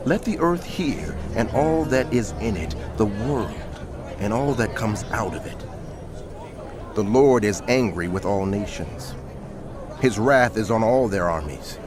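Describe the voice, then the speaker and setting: A man narrating dramatized scripture in a solemn, emphatic, declamatory manner. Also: on the low side.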